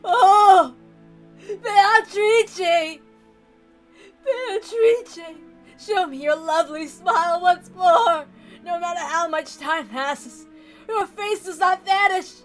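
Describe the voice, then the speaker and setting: A woman's voice: very high-pitched, a bit bright, faint music behind, pitched above the performer's own voice, exaggerated voice. A woman voicing a deranged, tyrannical old patriarch with theatrical, obsessive rants, reading from a visual novel. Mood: desperate pleading. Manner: theatrical howling rants, drawn-out pleading.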